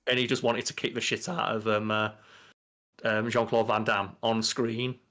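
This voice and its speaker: A young man co-hosting a podcast in casual chat, talking in an animated, profane way. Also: on the low side, normal speed. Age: young adult. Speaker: podcast co-host. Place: bedroom, on a video call.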